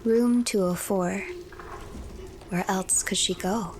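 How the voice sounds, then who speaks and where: A woman streamer narrating a video game as a story, reading in a measured, formal storyteller delivery. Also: mid-range voice, darkish tone. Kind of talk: scripted story narration, third person. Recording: clear background noise, game ambience.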